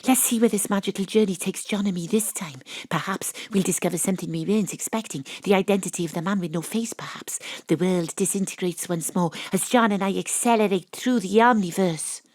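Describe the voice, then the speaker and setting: A woman's voice: medium pitch, fairly quick, few pauses, a little mellow, no room sound, lightly acted. A woman playing a tipsy amateur investigator in an audio drama, narrating in a wry, bawdy, theatrical way. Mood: wry storytelling. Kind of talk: audio drama, first-person narration and dialogue. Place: home studio, dry close sound.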